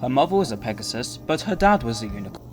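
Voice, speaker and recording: A man's voice: medium pitch, big pitch swings, fast, faint music behind, lightly acted. A man delivering scripted storybook narration as the narrator of an audio drama.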